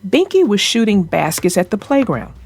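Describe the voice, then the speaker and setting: A woman's voice: mid-range voice, sing-song, normal speed, a little mellow, faint music behind. A woman hosting and narrating a read-aloud for young children, speaking directly to her young listeners. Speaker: children's book narrator and channel host.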